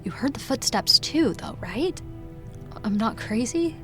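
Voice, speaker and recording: An actress playing an anxious, grieving young woman in an audio drama, halting and incredulous, often sighing. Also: a little high, very animated, fairly bright tone, faint music behind. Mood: urgent, intent. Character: anxious, grieving young woman, defensive about her dead father.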